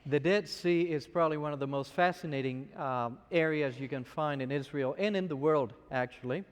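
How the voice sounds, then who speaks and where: A man's voice: on the high side, normal speed, smooth, big-room sound. Man from Argentina telling stories. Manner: chatty storytelling, frequent restarts. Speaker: scholar guest. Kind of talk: anecdotal lecture.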